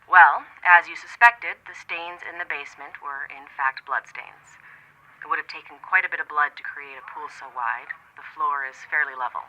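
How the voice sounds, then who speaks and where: Female forensics expert, audio drama: very sharp, bright tone, telephone filter, courteous and exact. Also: low voice, a little hiss or hum. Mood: measured, grave. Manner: polite, precise, measured. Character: apologetic, professional female forensics investigator on late-night call. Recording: narrow telephone-line sound.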